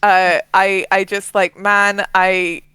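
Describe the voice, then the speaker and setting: A woman co-hosting a show in casual chat, giggly and gushing like an excited fan. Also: mid-range voice, slow, smooth, dry recording. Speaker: show co-host.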